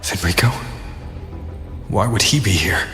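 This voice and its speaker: An actor playing a wary, puzzled observer in a fantasy video game, intent and questioning. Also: male voice, low-pitched, unhurried, sharp tone. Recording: echoey, long reverb, music behind.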